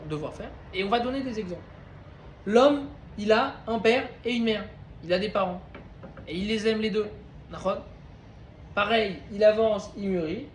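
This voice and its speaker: A man's voice: high voice, a little hiss or hum. A man giving an informal Torah class to a group of men, talking conversationally and often checking in with his listeners. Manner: conversational, questioning, addresses listeners directly. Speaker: Torah teacher. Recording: roomy echo with faint ambience.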